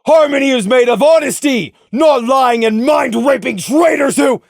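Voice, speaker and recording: A man's voice: very high-pitched, moderate pace, vocal fry, no room sound, clean studio sound. A man voicing a self-righteous, defiant unicorn stallion guard captain in a dramatic audio story, declaiming with open contempt. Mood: indignant shouting.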